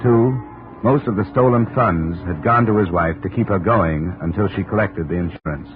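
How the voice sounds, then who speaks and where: A man's voice: sits low, moderate pace, natural voice. An actor playing a dry, terse insurance investigator who also narrates his case, in 1950s radio drama style. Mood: narrating, measured. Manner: terse, dry, matter-of-fact. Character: hard-boiled male insurance investigator, dry and unhurried. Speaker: lead detective and narrator. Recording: noisy, muffled old-time radio recording.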